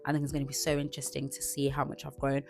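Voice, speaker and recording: A woman of twenty-five from Zimbabwe, chatting casually to camera as a vlogger, relaxed and chatty. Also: a bass voice, rapid-fire, creaky, faint music behind. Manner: chatty and relaxed, with frequent "like" and restarts.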